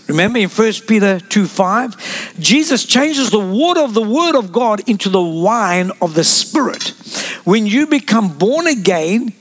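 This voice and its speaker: A man born in South Africa preaching a sermon as pastor, sprinkling amens and asides to the congregation. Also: high-pitched.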